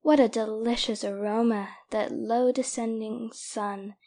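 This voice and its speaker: A woman playing a cheerful, dreamy young woman in a dramatic audiobook reading of a classic novel, with expressive, wistful delivery. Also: a little high, a little slow. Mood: bright, light.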